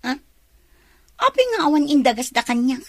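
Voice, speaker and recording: Woman acting nosy, catty gossip, very animated. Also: high voice, moderate pace, no echo. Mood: catty, prying. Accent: Filipino. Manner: prying, catty. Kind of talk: Ilocano radio drama acting.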